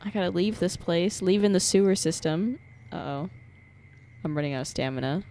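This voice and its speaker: A woman's voice: fairly low pitch, a little fast, warm tone, a little hiss or hum. A woman livestreaming a game with casual, playfully sarcastic, sweary commentary, reacting and chatting to viewers. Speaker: live streamer. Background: game music.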